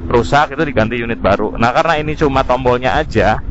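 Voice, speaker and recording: A man's voice: mid-range voice, quick pace, a bit bright. A man casually reviewing gear in an informal way, mixing in English terms, recorded on a helmet intercom mic while riding. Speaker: motovlogger. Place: outdoors, riding a scooter in city traffic. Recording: dull intercom audio with faint vehicle noise. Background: faint traffic and engine noise.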